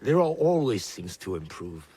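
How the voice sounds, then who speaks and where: An actor playing a solemn, tender middle-aged shinobi father and clan elder, speaking in a measured, reflective way in a video game drama. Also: low-pitched, very animated, warm tone, breathy edge, exaggerated voice. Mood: tender, grave.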